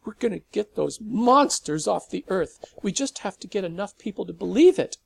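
A nervous, earnest teenage boy stammers and rushes through his plea, as a male reader puts him on. The voice is pitched up and gravelly, with a husky edge. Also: expressive, heavily acted.

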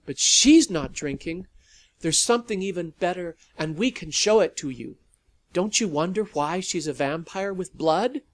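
Brief: a man playing a fierce young woman, raised pitch, sharp and forceful. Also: lively intonation, measured pace. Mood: defiant. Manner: sharp, snapping. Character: defiant young woman standing her ground.

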